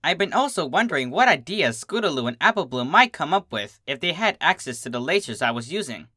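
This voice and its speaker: A narrator giving an expressive first-person reading of a comic fan story. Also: mid-range voice, a little fast, no room sound, clean studio sound.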